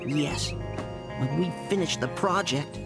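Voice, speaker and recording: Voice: medium pitch, slow, gravelly. A performer voicing an odd, secretive boy in a cartoon video game, theatrical and cheerfully sinister. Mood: ominous. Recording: game music behind.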